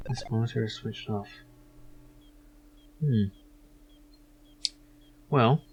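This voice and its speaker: A man's voice: medium pitch, drawn-out words, a little mellow, breathy edge, muffled recording. A man giving casual commentary on a game he is playing, musing and a little hesitant as he goes. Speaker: gaming video host.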